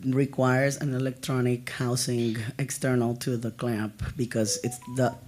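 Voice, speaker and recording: A man's voice: mid-range voice, flat delivery, unhurried, noisy background. A middle-aged man with a cold and a fading voice, answering panel questions in a conference room, apologetic and hesitant. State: has a cold, losing his voice. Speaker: presenter. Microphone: handheld mic.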